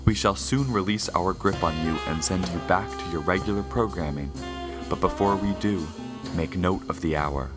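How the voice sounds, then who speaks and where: Ominous vintage radio narrator, man acting low and grandiose, over loud music. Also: natural voice. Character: solemn, mysterious male radio serial narrator. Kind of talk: dramatic 1940s radio narration.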